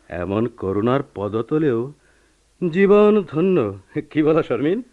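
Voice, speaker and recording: An actor playing a playful, teasing young husband and friend with theatrical delivery in a radio play. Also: male voice, mid-range voice, expressive, takes it slowly. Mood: jovial. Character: jovial, teasing young friend, married and expansive. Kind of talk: Bengali radio play acting. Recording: muffled old radio recording.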